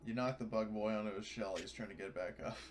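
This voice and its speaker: A man's voice: fairly low pitch, fairly even intonation, fast. A man chatting casually as a player in a tabletop roleplaying game. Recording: faint background noise.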